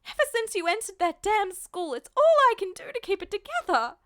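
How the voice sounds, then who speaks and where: A woman's voice: very high voice, big pitch swings, fairly quick, fairly bright tone, voice pushed up, heavily acted. A woman voicing a distraught, exasperated mother in a fan fiction audiobook, scolding with emphatic, reproachful delivery. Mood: distressed, pleading. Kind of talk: acted dialogue in an audiobook-style fan fiction reading.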